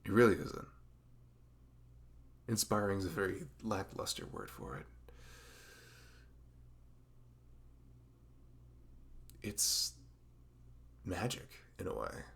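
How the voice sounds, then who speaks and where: A man acting a dorky, sentimental young best friend with a crush in an intimate ASMR roleplay, speaking haltingly in a rambling, self-conscious way with a gravelly creak. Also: deep voice, sing-song, fairly bright tone, exaggerated voice. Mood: admiring, tender. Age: young adult.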